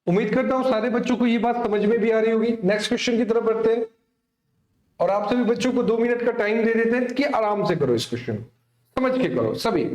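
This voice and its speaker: A very high-voiced man works through problems like a coaching teacher. He speaks straight to his students and keeps adding little check questions. The sound is clearly echoey, as in a bare room. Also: fast, darkish tone. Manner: repetitive tag questions, addressing students directly. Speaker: maths teacher. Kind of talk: exam coaching lesson, explaining worked problems.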